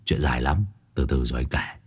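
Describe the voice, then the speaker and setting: A man voicing a sly young sidekick who mumbles low asides into a phone. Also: fairly even intonation, a little fast, husky, exaggerated voice.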